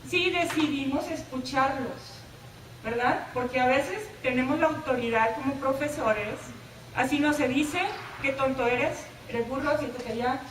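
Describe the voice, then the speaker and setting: Northern Mexican-accented woman presenter, high voice, engaging; echoey room, distant mic. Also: measured pace, noisy background. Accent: northern Mexican Spanish. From US–Mexico border, Laredo. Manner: engaging, asks the audience questions, tag "¿verdad?". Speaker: presenter at a teacher workshop. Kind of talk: interactive talk to teachers. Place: lecture room.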